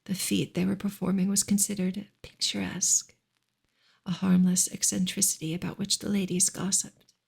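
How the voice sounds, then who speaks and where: Female ASMR narrator for a literary audiobook: very breathy, gentle, near-whispered read, restrained intonation. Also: mid-range voice. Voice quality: breathy, often slipping into whisper. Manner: gentle, hushed delivery. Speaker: narrator reading a novel aloud. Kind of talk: soft-spoken ASMR audiobook reading.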